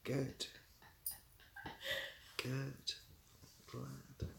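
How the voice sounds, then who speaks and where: A man's voice: medium pitch, monotone, very slow, creaky, heavy background noise. A British-accented man chatting casually as a livestream host, answering viewers in a dry, easygoing, self-deprecating way. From Britain.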